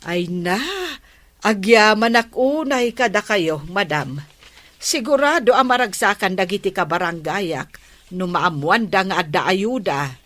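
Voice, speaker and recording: A woman's voice: medium pitch, expressive, measured pace, fairly bright tone, no echo. A woman playing a patient village captain in a Filipino comedy drama, with a Filipino accent. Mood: polite, grateful. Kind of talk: Filipino radio-style comedy drama acting.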